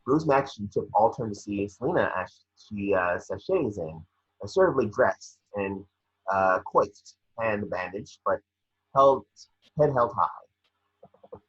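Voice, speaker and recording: A man's voice: on the low side, lively intonation, slow, slightly rough, dull audio. A man reading screenplay scene directions aloud as narrator, stumbling over words now and then.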